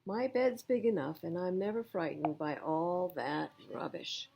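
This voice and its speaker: A woman's voice: lowish voice, measured pace, vocal fry, darkish tone, a little hiss or hum, poor audio. A woman voicing a grumbling, skeptical male pig in a children's storybook, dismissive in manner. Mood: reassuring.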